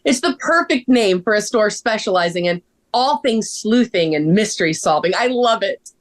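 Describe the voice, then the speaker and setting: A woman acting a bubbly, excitable young wife in a scripted table read. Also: medium pitch, moderate pace, close to an everyday voice. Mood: bubbly excitement.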